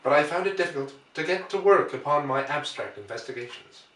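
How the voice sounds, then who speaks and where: A man narrates literary prose in a measured, deliberate way. His voice is bright and forceful at an ordinary pitch. The recording is roomy and echoing, picked up some way from the microphone. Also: lively intonation, distant mic. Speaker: audiobook reader.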